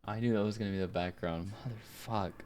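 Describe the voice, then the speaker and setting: A man's voice: fairly low pitch, fairly even intonation, quick pace, darkish tone, a little hiss or hum. A man talking casually and informally, with some swearing, as a voice actor on a livestream. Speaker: streaming voice actor.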